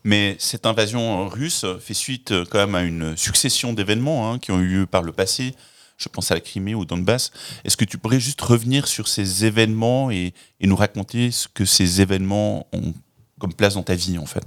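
Podcast host guy, lowish voice, articulate, asks careful questions. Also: normal speed. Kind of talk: interviewing, partly scripted intro.

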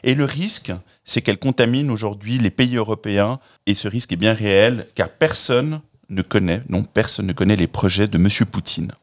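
A man hosting a podcast, reading a scripted opening and then asking measured, articulate interview questions. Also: fairly low pitch. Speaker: podcast host. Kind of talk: interviewing, partly scripted intro.

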